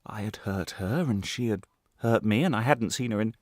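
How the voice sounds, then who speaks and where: A man's voice: lowish voice, moderate pace, a little mellow, dry recording, studio quality, natural voice. A man playing a guilt-ridden former prisoner telling his story in a radio drama, intense and brooding, in broken, repetitive phrases. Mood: anguished.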